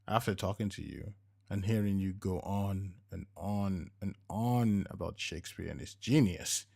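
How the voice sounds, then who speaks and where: A man playing a devoted middle-aged husband in a story, speaking gently and earnestly. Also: lowish voice, creaky. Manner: gentle, soft murmuring.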